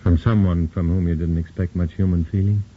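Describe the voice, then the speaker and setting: A man's voice: sits low, level tone, a little fast, natural voice. An actor playing a grim undercover man in a 1940s radio drama, narrating in clipped, tough-talking first person. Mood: steady. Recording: loud hiss on a dull old radio recording.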